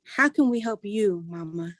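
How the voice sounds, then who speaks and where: A woman's voice: mid-range voice, lively intonation, normal speed, no echo, clean studio sound, lightly acted. A woman playing a dutiful grown daughter who steps up to direct, in a staged play reading. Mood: concerned, hesitant. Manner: earnest, organizing.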